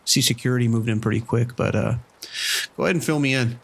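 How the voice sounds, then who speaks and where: Man acting a calm, practical casino manager. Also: medium pitch, quick pace, creaky, breathy edge. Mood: calm, businesslike. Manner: casual, steady, giving instructions. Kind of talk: radio drama dialogue.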